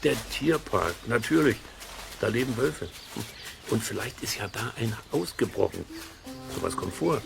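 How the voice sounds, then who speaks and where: A man's voice: mid-range voice, expressive, unhurried, gravelly, over music. A man acting a curious, stubborn amateur nature sleuth in a children's radio play, musing and muttering as he goes. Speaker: main character of the series. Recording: outdoor nature ambience behind voice.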